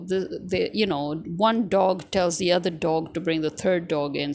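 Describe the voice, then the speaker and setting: A woman's voice: low-pitched, creaky, a little hiss or hum. A woman giving unscripted political commentary in an indignant, scornful manner, driving points home with emphatic rhetorical questions.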